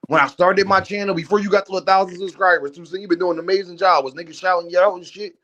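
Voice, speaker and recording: A man's voice: high voice, fairly even intonation, fast, fairly bright tone, highs cut off. Emphatic man ranting on a boxing stream. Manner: emphatic, swears freely, repeats names. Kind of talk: casual panel chat.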